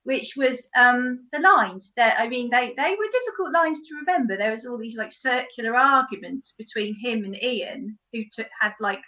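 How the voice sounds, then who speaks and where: A woman telling a stage anecdote in an animated way, acting out moments as she goes, on a video call. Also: on the high side, normal speed, hardly any pauses, lo-fi sound. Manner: animated storytelling, acts out moments. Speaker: actor.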